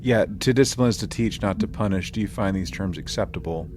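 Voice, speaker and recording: A man's voice: sits low, fast talker, background noise. A man co-hosting a podcast in casual discussion, hesitant as he searches for his words. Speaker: podcast co-host. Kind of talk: casual discussion recapping an audio drama.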